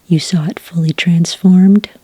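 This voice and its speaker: A woman speaking in a whispery, breathy soft voice, calm and methodical, as an agent in an ASMR roleplay, close to the microphone. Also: on the low side, no echo. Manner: calm, methodical questioning with gentle pauses. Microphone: close microphone in a dry room.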